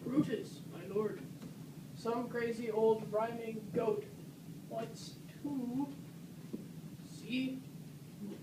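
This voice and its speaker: A woman playing Lucilius, a dutiful Roman servant, in an amateur puppet-show parody, with halting delivery. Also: fairly high pitch, steady tone. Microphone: distant camera microphone. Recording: echoey noisy room, far from mic.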